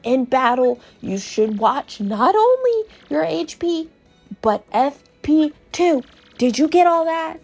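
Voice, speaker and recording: Woman acting as old male mushroom-creature professor, gravelly, slow, fussy, cautious advice, video game read-aloud, faint music. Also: high-pitched, very animated, darkish tone, exaggerated voice. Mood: instructive.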